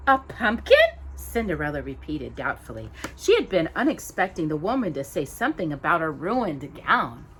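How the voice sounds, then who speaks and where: A woman hosting storytime, reading a children's story aloud with expressive, dramatic emphasis on descriptive words. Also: sits low, moderate pace, few pauses, slight background noise, rough recording.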